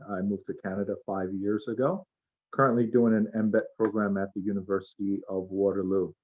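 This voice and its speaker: A man introducing himself as a panelist in a candid, plain-spoken way. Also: lowish voice. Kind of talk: self-introduction. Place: video call. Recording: dull lo-fi call audio.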